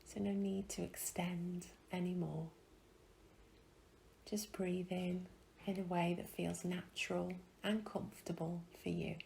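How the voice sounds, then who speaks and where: A woman guiding a meditation in a soft, breathy, soothing way, leaving long pauses between phrases. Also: on the low side, little pitch movement, slight background noise, rough recording. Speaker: meditation guide. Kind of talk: guided meditation.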